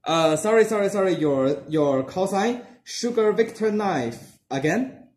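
A man's voice: high-pitched, expressive, unhurried, a little mellow. A young man working as an amateur radio operator, exchanging call signs in phonetic spelling. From Singapore. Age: young adult. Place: small dark room indoors. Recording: roomy echo, background noise, clicking.

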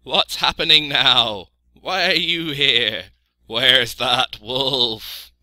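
A man's voice: mid-range voice, drawn-out words, sharp tone, dry recording, voice pushed up, heavily acted. A man voicing a bossy, talkative old mother sheep in a storybook, emphatic and repeating herself. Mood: alarmed. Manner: emphatic, repetitive.

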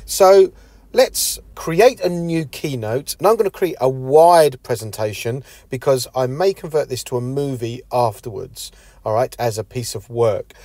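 A man's voice: mid-range voice, a little slow, warm tone, no room sound. A middle-aged man talking through a tablet app tutorial step by step, explaining each tap like a teacher. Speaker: education technology trainer. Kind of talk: screen-recorded tutorial walkthrough.

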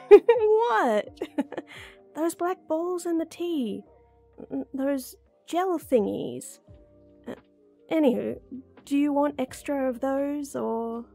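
A woman's voice: high-pitched, lively intonation, extremely slow, vocal fry, long pauses, mellow, faint music behind, exaggerated voice. A young woman playing a playful, flustered friend with a secret crush in an intimate audio roleplay, teasing, giggly and hesitant. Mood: cheerful, giggly. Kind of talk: intimate one-sided ASMR-style roleplay acting.

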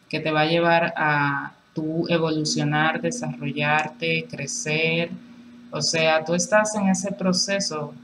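A woman with a fairly low voice gives a relaxed, chatty tarot reading at a table. She talks in a reassuring way and leans on filler phrases. A faint room hum sits behind her. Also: rough recording. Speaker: tarot reader. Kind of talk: casual tarot reading to camera. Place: indoors at a table with cards on a cloth.

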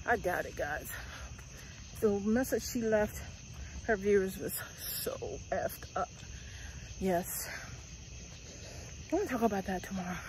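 A woman's voice: mid-range voice, slight background noise, poor audio. A woman in her forties vlogging while walking outdoors at night, talking bluntly and rambling into a phone held at arm's length. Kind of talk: casual walking vlog. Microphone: phone at arm's length, earbuds.

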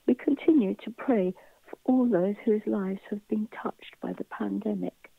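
A woman's voice: medium pitch, a little slow, creaky, slightly rough, dry recording. Woman leading prayers, dull phone-like sound. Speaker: prayer leader. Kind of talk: reading scripted church intercessions. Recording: dull, phone-like narrow band.

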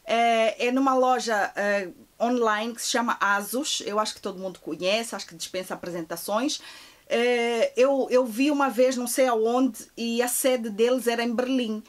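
Brief: woman in her forties, gushing haul vlogger, slightly high voice, close camera at home. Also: normal speed. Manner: chatty, gushing, many filler restarts. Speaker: fashion vlogger. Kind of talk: shopping haul vlog.

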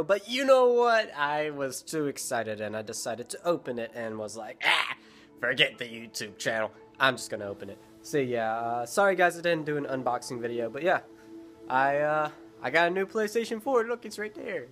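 A young man with a stuffy nose and sore throat, rambling excitedly in a casual gaming vlog filmed at home. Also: medium pitch. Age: young adult. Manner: excitable, rambling, lots of fillers. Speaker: gaming YouTuber. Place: bedroom at home, indoors.

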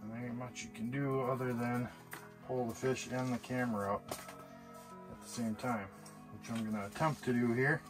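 A man vlogging his ice fishing trip in a shack on a frozen lake, excited and chatty, talking to the fish he sees on camera. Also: medium pitch, moderate pace, music in the background, poor audio. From Wisconsin. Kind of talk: casual outdoor fishing commentary.

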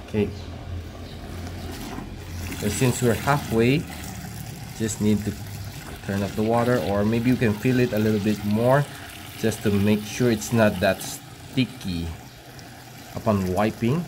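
A man in his thirties with a Filipino accent gives a casual, halting how-to demonstration as a vlogger, recorded on a phone outdoors. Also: lowish voice, steady tone. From Philippines. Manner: halting, explanatory. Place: outdoor paved yard or garage at home. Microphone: handheld phone camera. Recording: clear ambient noise on phone video.

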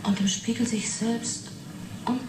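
An actress playing a matter-of-fact yet fascinated witness in a German radio play, halting and reflective. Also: female voice, a little high, steady tone, close to an everyday voice. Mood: intent, fascinated. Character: young woman witness recounting an uncanny experiment, matter-of-fact yet fascinated. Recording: roomy 1980s radio play, noisy background.

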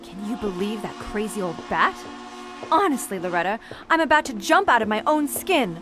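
A woman's voice: medium pitch, very animated, normal speed, music in the background, natural voice. An actress playing a nervy, sarcastic young woman on the run, blunt and slangy, in an audio drama. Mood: sarcastic, irritated.

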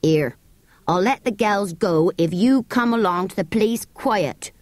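A woman's voice: medium pitch, expressive, darkish tone, no echo, natural voice. Woman playing a sneering English horse dealer. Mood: bullying. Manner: mocking, bullying, drawn-out words. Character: gruff, sneering working-class horse dealer, grown man.

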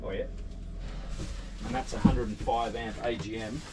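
A man's voice: fairly low pitch, little pitch movement, moderate pace, heavy background noise. An Australian man talking casually while cooking on a small catamaran, in an easygoing, matter-of-fact way. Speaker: vlogger. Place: on a small catamaran on a river, outdoors.

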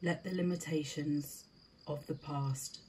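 A woman leading a scripted guided meditation in a soothing, deliberate manner, with short spaced phrases. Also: low voice, steady tone, warm tone, a little hiss or hum.

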